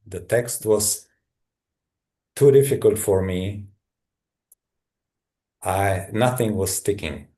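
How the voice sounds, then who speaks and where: Slow, deep Czech-accented man. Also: dark tone. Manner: halting, thoughtful, many restarts. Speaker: guest. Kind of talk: personal story in a conversation.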